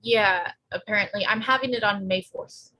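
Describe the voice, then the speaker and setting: A woman reading the part of a blunt, flippant pregnant teenage girl in a screenplay table read, with slangy deadpan delivery. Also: medium pitch, normal speed, close to an everyday voice, rough recording. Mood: offhand. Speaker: actor in a live table read.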